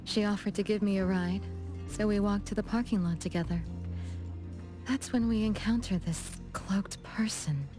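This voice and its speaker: A woman's voice: mid-range voice, fairly even intonation, moderate pace, lightly acted. A woman voicing a poised, gracious young lady in a video game, composed and polite with a lightly teasing touch. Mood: calm, teasing. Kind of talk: game dialogue acting, storytelling. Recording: music behind the voice.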